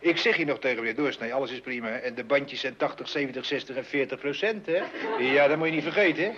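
A man's voice: medium pitch, quick pace, fairly bright tone, lightly acted. An actor playing a slick, pushy, boastful used-car salesman with glib slangy patter in a radio comedy. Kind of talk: mid-century Dutch radio comedy acting. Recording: dull old radio recording with noise.

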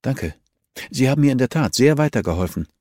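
A man plays a young woman investigator in an audiobook. She sounds polite, businesslike and determined. The pitch is raised from the reader's usual one, and the sound is dry and close. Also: lively intonation, a little fast, a little mellow, no echo, close to an everyday voice. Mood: polite, thoughtful. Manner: polite, matter-of-fact. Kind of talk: audiobook character dialogue.

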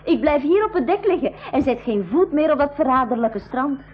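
Feisty young girl, high-pitched. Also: lively intonation, a little fast, a little hiss or hum. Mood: grumbling. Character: young girl adventurer, feisty, grumbling, watchful sister. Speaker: actress in a school radio drama. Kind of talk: Dutch-language 1980s radio play acting. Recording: dull old radio recording.

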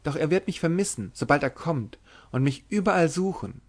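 A young noble lady of a gothic storybook, voiced by a male narrator, anxious and devout. The voice is lifted in pitch and pleads fervently, with a tremble of fear in the formal, literary phrasing. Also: animated, a little slow. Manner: stammering, fearful, fervent pleading. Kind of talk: dramatic literary audiobook reading.

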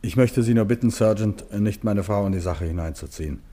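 An actor playing a grave, courteous gentleman under arrest, formal, restrained and resigned, in a German radio crime drama. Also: on the low side, fairly quick, lightly acted.

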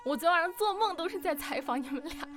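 A woman hosting a live interview stream in a chatty, openly excited and nervous manner. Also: very high voice, quick pace. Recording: music playing behind the voice. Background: music.

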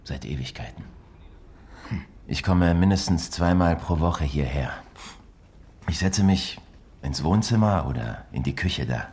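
A pensive, evasive man in a naturalistic radio drama. His voice is exceptionally deep, and he muses in short, halting phrases. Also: expressive, darkish tone. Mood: pensive, dreamy. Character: pensive, evasive man daydreaming of other lives.

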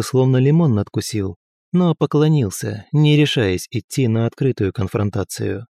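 A male audiobook narrator who reads in an even, measured way. His voice is mellow and darker in tone, at a middle pitch. The recording is dry and close, with no room sound. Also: dry recording. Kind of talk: scripted historical fiction narration.

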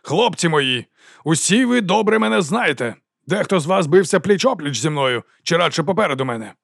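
A flamboyant old rogue of a mercenary captain, put on by a male audiobook reader, addresses his soldiers with theatrical pomp and sly self-mockery. The voice rides well above an everyday register, with a gravelly edge and a very dry, close sound. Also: on the high side, lively intonation, fairly quick, a bit bright, no echo, pitched above the performer's own voice, full character voice. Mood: wry, rousing. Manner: theatrical, self-mocking, grandiose orator. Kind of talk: audiobook character acting.

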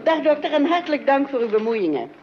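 An actress playing a polite, chatty, effusive housewife in a radio comedy drama. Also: female voice, high voice, sing-song, fast. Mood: chatty, courteous. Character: polite, chatty housewife, warm-hearted and easily impressed. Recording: dull, noisy old radio recording.

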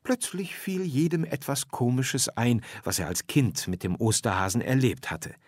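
A man reading a children's story aloud in an expressive narrating style. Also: mid-range voice, normal speed, dry recording, studio quality. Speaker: story reader.

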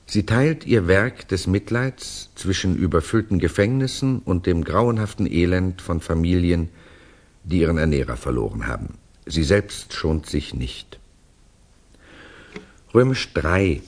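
A man acting as a wry frame narrator in a 1960s German radio drama, reading out notes with emphatic comments in a husky voice. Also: low voice, expressive, a little slow. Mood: matter-of-fact.

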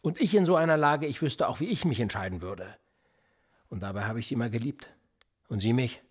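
A cool, manipulative police interrogator in a radio play. His voice is a little husky, and his pitch swings widely as he pushes a suspect with probing questions. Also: expressive, a little fast. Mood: pressing. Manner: probing and insistent, pressing with pointed questions. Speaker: police officer.

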